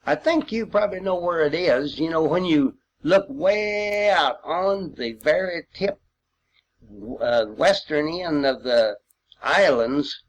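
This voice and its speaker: Elderly male veteran. Unhurried, rambling, folksy storyteller with very lively intonation. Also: a little high, lo-fi sound. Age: 80s or older. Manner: folksy, rambling storytelling with old-time expressions. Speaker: World War II veteran sharing memories. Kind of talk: informal spoken reminiscence.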